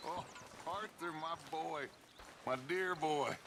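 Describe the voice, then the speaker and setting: A man's voice: fairly high pitch, slow, noisy background. An actor playing a grandiose, charismatic outlaw gang leader in a western game, fatherly and theatrical. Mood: elated, earnest.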